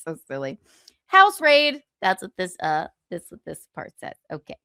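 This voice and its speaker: Low-voiced woman streamer reading with sarcastic asides. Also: huge pitch swings, unhurried, sharp tone, no echo, clean studio sound. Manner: sarcastic asides, frequent chuckles; thanks viewers for donations mid-read. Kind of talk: reading a book aloud with live commentary.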